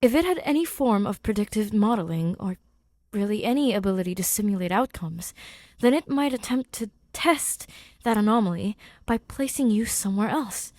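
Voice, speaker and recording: Lady doing an excited science guy working out a theory out loud, emphatic, soft dark tone, bit husky. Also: mid-range voice, no room sound, lightly acted. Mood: earnest, thoughtful. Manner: emphatic, thinking aloud in pauses. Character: excited male scientist, animatedly explaining his theory.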